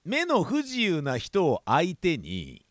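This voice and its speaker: A man's voice: a little high, wildly swooping pitch, slow pace, no room sound, studio quality, heavily acted. A man playing a lewd, pushy, clownish police detective in a comic audio drama, wheedling and leering. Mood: probing. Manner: leering, wheedling, yelps in exaggerated pain.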